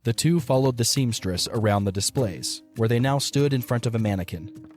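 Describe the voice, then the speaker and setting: A man's voice: medium pitch, expressive, moderate pace, a little mellow. A man reading scripted narration for an audio story. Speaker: narrator of a fan audio drama. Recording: music under the voice. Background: music.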